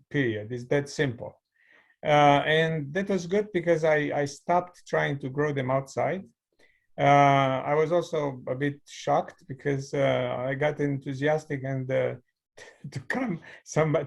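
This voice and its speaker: Romanian-accented man, gravelly voice. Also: mid-range voice. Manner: thoughtful, storytelling with light laughs. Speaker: guest, mechanical engineer. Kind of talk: conversational interview. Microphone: video call.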